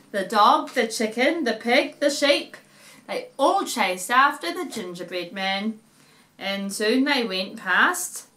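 A middle-aged woman, narrating a children's story in an animated way at home. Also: mid-range voice. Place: living room, seated in an armchair.

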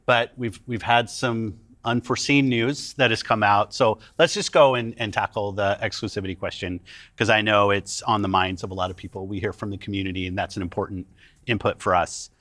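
A middle-aged man, a gaming company executive, answering podcast interview questions in a calm, deliberate way. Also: lowish voice, no echo, clean studio sound. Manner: calm and deliberate, careful with his words. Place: studio set.

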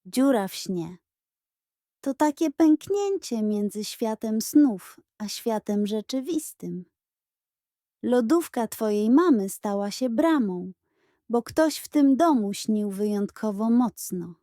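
An indignant, dramatic lady giraffe from a children's storybook, put on by a woman. The voice is fairly high and theatrical, recorded dry. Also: lively intonation, slow, dark tone, no room sound. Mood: mysterious.

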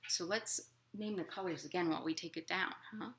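A woman in her thirties hosting a storytime for young children at home, expressive and encouraging in simple words. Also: lowish voice, fairly quick, slight background noise. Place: living room at home.

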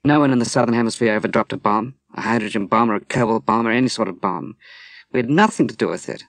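A man voicing a young woman who is drunk, bitter and despairing, with a rising, shrill, emotional delivery. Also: expressive, brisk, dry recording, lightly acted.

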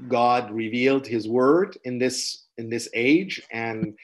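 Halting man on a video call. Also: medium pitch, poor audio. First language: Persian. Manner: halting, many restarts and fillers, earnest. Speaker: webinar presenter. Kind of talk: unscripted lecture answering questions. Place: small room indoors. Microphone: webcam on a video call.

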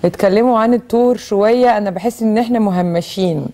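A woman in her thirties with an Egyptian accent, hosting a live call-in show, brisk and affectionate with callers and repeating their details back. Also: mid-range voice, mellow. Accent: Egyptian Arabic. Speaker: talk-show host. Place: bright television studio.

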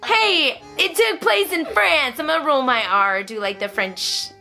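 A woman in her thirties or forties in casual, animated conversation to camera, reviewing things and breaking off her own sentences, recorded in a room at home. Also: sits high, a little slow, vocal fry, music in the background. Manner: animated, conversational, self-interrupting. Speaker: vlogger and homeschooling mother. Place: small furnished room at home, indoors.

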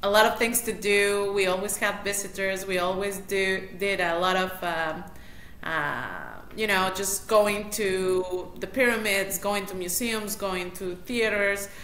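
Bright woman, roomy call. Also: mid-range voice, steady tone, measured pace. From Mexico City. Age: middle-aged. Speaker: interview guest, matchmaker. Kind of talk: casual interview chat. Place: small room indoors, on a video call. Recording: roomy, noisy video-call audio.

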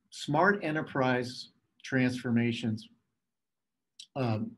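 A middle-aged man presenting a scripted keynote as a telecom executive, in a measured, formal, phrase-by-phrase way. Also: mid-range voice.

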